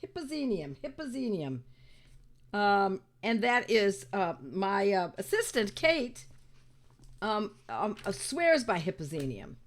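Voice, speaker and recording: An older woman with a raspy voice from a cold, teaching homeopathy in an animated, emphatic casual talk, often repeating her points. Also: mid-range voice, takes it slowly. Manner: animated and emphatic, repeats phrases, talks to viewers as friends. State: hoarse from a cold. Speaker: homeopathy teacher hosting her own channel.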